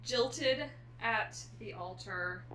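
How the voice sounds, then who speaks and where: A woman's voice: a little high, very slow, sharp tone, rough recording. A woman hosting a casual chat about books, speaking informally. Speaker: host.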